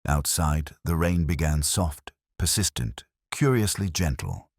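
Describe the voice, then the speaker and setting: A man narrating as a melancholy, world-weary police inspector, in a measured, literary and solemn way. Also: extremely low pitch, expressive, creaky, breathy edge, dry recording, clean studio sound, heavily acted. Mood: somber, reflective. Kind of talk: scripted first-person detective narration.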